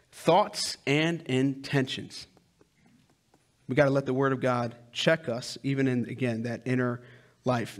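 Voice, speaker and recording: A man in his thirties preaching a sermon on a church stage through a headset mic, with loud, emphatic lines and hushed asides. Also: a little high. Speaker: pastor.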